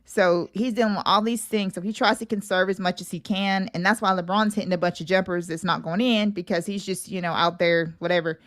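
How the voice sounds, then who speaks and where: A woman's voice: lowish voice, steady tone, a little fast, hardly any pauses. A woman guest on a sports talk show, chatting casually and colloquially with plenty of opinion. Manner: conversational, colloquial phrasing.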